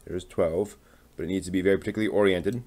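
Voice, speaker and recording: A man casually talking through a hands-on hobby build, halting and muttering to himself as he works. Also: low-pitched, steady tone, darkish tone, slight background noise. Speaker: hobby channel host building a model kit on stream.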